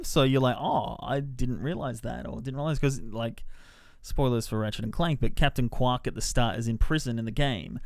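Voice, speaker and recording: A man's voice: mid-range voice, a little mellow, dry recording, clean studio sound. A man giving a casual, rambling, informal film review as a podcast reviewer.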